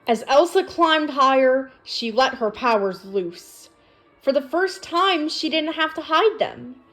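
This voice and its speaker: A woman reads a children's storybook aloud in a high, very animated voice. Her delivery is expressive and scripted, made for young listeners. Music plays along with her. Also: slow, rough recording. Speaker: storybook reader.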